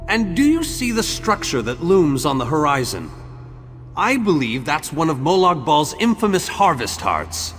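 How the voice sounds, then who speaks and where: A formal dramatic wizard leader, highish lively voice, echoing hall, music. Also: male voice. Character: commanding male mage leader, stern, refined and earnest. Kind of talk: fantasy game character acting. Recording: hall reverb with music behind.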